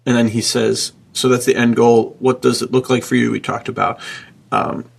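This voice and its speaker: A man with a husky, gravelly voice, hosting a casual podcast discussion in an encouraging, opinionated manner. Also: mid-range voice, little pitch movement, moderate pace. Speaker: podcast host.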